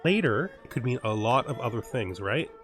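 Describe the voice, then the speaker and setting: A man's voice: medium pitch, very animated, normal speed, a bit bright, faint music behind. A man hosting a let's play, thinking aloud as he narrates a strategy game, with many fillers and restarts. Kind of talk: casual game commentary.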